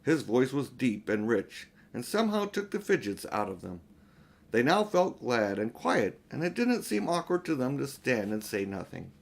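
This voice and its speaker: A man's voice: mid-range voice, big pitch swings, moderate pace, vocal fry. Older man reading a storybook aloud. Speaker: audiobook reader. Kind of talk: storybook reading with informal asides.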